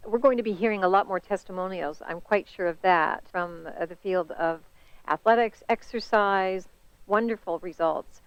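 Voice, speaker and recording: A woman's voice: medium pitch, normal speed, clear, resonant tone, no echo. A woman hosting a promotional conference call, leading into questions in an articulate, encouraging and wordy way, on slightly dull call audio. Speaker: host, interviewer.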